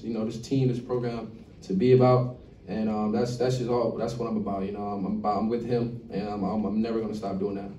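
A young man talks through his answers loosely, leaning on "you know" every few words. His voice sits mellow and unhurried. The sound comes from a mic across a roomy press space with a faint hum behind it. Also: fairly low pitch. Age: young adult. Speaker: college basketball player. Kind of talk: postgame press conference answers. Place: press conference room. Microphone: table mic, recorded from across the room. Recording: echoey room, distant mic, faint hum.

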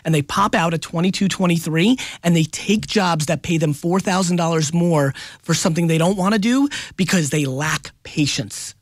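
A man of about forty, a guest on a radio show, talking in an emphatic, animated way and often tagging "right?" onto his points. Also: a little high, moderate pace, hardly any pauses, no echo, studio quality. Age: 40s. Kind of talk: casual radio interview. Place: radio studio. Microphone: studio microphone up close.

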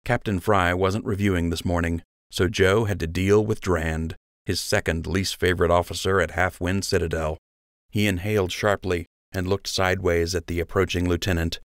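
Low-voiced male audiobook narrator, dry recording. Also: fairly even intonation, normal speed, no echo. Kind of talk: scripted story narration.

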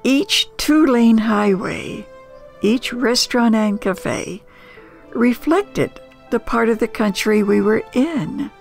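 Older American woman, heavily creaky, slow storyteller. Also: fairly high pitch, expressive, soft background music. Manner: folksy, unhurried, emphatic pauses. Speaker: storyteller and host. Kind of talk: nostalgic personal storytelling.

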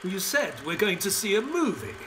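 A genial detective's sidekick and narrator in an audio drama. He tells the story earnestly with very lively intonation. Clear ambient noise sits behind the voice. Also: sits high, normal speed. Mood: inquisitive. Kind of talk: audio play narration and dialogue.

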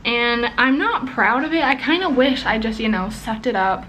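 A woman's voice: a little high, lively intonation, normal speed, sharp tone, slight background noise, poor audio. A young woman from England who speaks with an American accent, rambling through a personal story to the camera with lots of ums and restarts. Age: young adult. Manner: rambling, with many ums and self-corrections. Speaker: vlogger. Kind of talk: casual chat to camera. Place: small indoor room. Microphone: camera mic close to face.

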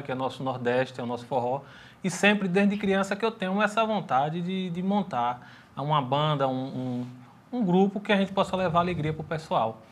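A young man from Bahia speaks earnestly and warmly in a Northeastern Brazilian accent, his voice pitched a little high. He is a guest singer heard on a lapel mic in a TV studio. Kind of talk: studio interview. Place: TV studio set.

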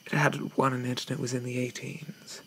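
A man playing a shy, gentle, polite Victorian zombie in a soft-spoken ASMR roleplay, stammering and hesitant with old-fashioned courtesy. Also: medium pitch, flat delivery, fairly quick, vocal fry, slight background noise, poor audio. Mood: wistful, resigned.

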